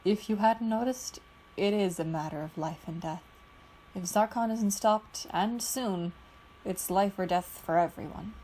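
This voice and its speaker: Woman doing a calm team leader guy, firm. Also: medium pitch, measured pace, a little hiss or hum, natural voice, rough recording.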